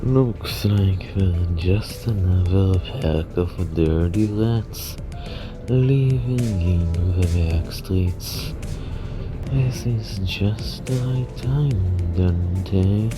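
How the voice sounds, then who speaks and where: A man voicing a stern, commanding guide in a game fan dub, with formal, deliberate and authoritative delivery. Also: low-pitched. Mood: commanding, dry. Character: stern, commanding male guide of a grim crew. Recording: music behind the voice. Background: music.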